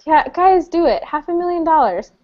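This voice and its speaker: A woman's voice: very high-pitched, lively intonation, moderate pace, a little hiss or hum. A woman in her thirties from El Salvador, moderating an online panel in a friendly, chatty way with many fillers and restarts. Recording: dull lo-fi dry sound.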